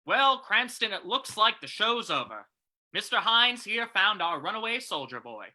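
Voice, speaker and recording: Man playing a forceful, blustering police commissioner. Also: sits high, big pitch swings, normal speed, full character voice. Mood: triumphant, commanding. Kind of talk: old-time radio drama reading.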